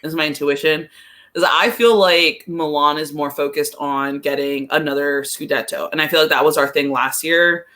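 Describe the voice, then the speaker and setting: Casual football pundit, mid-pitched voice, thinks out loud and qualifies opinions in relaxed podcast conversation. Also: moderate pace. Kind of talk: casual sports podcast discussion.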